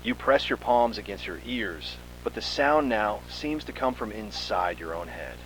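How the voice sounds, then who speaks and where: A man's voice: on the low side, expressive, unhurried, fairly bright tone, slight background noise, poor audio. A man reading a scripted second-person horror story in a dramatic, suspenseful manner.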